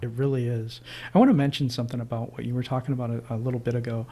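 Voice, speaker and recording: A man's voice: medium pitch, little pitch movement, racing, crowd noise. A man hosting an interview podcast, earnest and enthusiastic, with lots of fillers. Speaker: podcast host. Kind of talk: casual interview chat.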